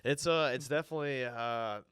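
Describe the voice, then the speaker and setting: A man co-hosting a casual podcast over a remote call, sounding nasal from a cold and easygoing, with drawn-out fillers. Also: mid-range voice, fairly bright tone, clean tone, dry recording. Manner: easygoing, drawn-out fillers, upbeat sign-offs. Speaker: podcast co-host. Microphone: virtual podcast, remote call.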